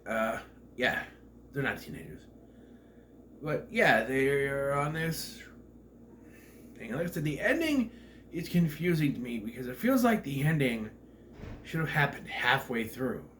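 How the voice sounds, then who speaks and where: A man's voice: mid-range voice, very animated, a little slow, long pauses, fairly bright tone. Nasal man with a cold, echoey room. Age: 30s. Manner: chatty, joking, self-correcting asides. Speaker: movie reviewer. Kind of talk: casual rambling film review. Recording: echoey room, distant mic, noisy background.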